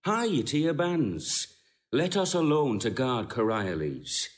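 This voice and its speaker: Stately senator, hugely animated. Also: male voice, medium pitch, takes it slowly. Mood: commanding. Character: stately Volscian senator, confident and commanding. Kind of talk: classical Shakespearean stage acting.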